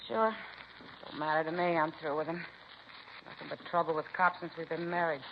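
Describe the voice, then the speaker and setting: An actress playing a bitter, blunt working-class wife who complains in slangy speech, in 1950s radio drama style. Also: female voice, low voice, flat delivery, brisk. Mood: bitter, fed up. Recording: muffled, noisy 1950s radio recording.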